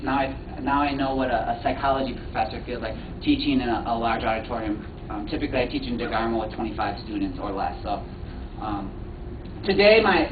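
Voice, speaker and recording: A man's voice: mid-range voice, background noise. Hesitant young man lecturing in an echoey hall. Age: 20s. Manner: hesitant, many ums and restarts, quizzes the audience. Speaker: guest speaker, professor. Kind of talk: interactive lecture to students. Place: large auditorium. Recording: echoey hall, distant mic, dull sound.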